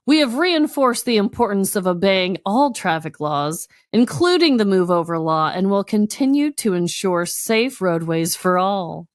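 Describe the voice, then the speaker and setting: A woman's voice: medium pitch, lively intonation, a little slow, few pauses, no echo, studio quality. An American woman co-hosting a podcast, chatting casually and reading articles aloud in a giggly, conversational way. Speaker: podcast co-host.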